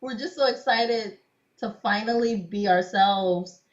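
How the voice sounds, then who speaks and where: A woman's voice: mid-range voice, a little slow. A young woman guest telling stories in a casual interview, laughing often and saying "like" a lot. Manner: chatty storyteller, laughs often, many "like"s. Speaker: cosplayer guest. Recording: dull lo-fi sound.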